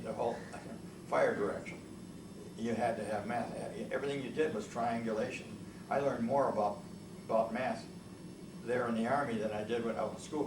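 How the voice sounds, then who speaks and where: Elderly man rambling with many restarts. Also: fairly low pitch. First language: Italian. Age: older. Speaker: veteran interviewee. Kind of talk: oral history interview. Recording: echoey room, mic far off, noisy.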